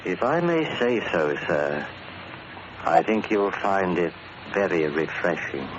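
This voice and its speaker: An actor playing a deferential, quietly menacing manservant with polite, formal, precise diction, in a 1940s radio drama style. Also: male voice, low-pitched, sing-song, slow, heavily acted. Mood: courteous. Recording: muffled old radio recording, heavy noise.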